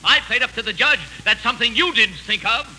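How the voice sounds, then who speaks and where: Piercingly bright, high-voiced radio lawyer. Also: male voice, lively intonation, brisk, full character voice, poor audio. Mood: smug. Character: boastful opposing lawyer, comic foil. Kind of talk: 1940s radio comedy acting. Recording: 1940s radio recording.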